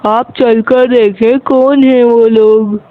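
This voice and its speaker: A man's voice: very high-pitched, fairly even intonation, takes it slowly. A man voicing a polite, dutiful young village boy in a story, with a simple childlike manner. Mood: earnest. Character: polite young village boy, son calling his mother. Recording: narrow phone-like muffled sound.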